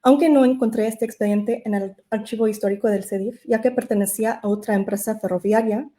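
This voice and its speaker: A woman who is not a native Spanish speaker, reading a prepared academic lecture as an invited speaker, measured and careful with occasional self-corrections. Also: medium pitch, mellow.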